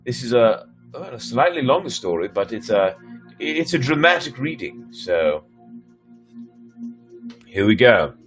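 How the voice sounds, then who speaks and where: A man's voice: medium pitch, big pitch swings, measured pace, pauses often, faint music behind. A man reading stories aloud on a livestream in a theatrical, playful manner, with chatty asides. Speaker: storyteller and livestream host. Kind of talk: dramatic fairy tale reading with chatty asides.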